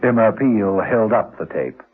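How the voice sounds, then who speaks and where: A man's voice: on the low side, expressive, unhurried, breathy edge. A man narrating a scripted radio drama as its storyteller. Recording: muffled vintage radio, faint music.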